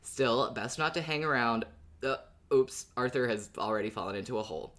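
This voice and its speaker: A man's voice: mid-range voice, brisk, a bit bright. A man co-hosting a podcast, chatting and recapping in a casual style. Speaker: podcast host.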